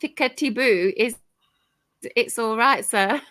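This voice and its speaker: A woman's voice: on the high side, takes it slowly, a bit bright, no echo. A woman in her forties from the UK chatting casually on a live stream, laughing often and swearing now and then. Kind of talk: casual chat.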